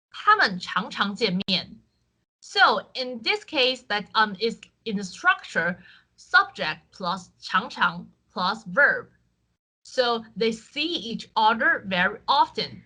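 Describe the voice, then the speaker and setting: A woman from Taiwan, a native Chinese speaker with a Taiwanese accent, teaching an online Chinese lesson in clear, patient English with frequent fillers. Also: fairly high pitch, pushed and bright, low-quality recording. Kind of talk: online language lesson with screen share.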